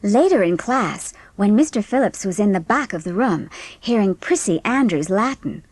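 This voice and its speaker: A woman narrates a storybook in scripted audiobook style. Her voice sits at a middle pitch, and the sound is dry with no room echo. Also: expressive, normal speed, a little mellow, breathy edge.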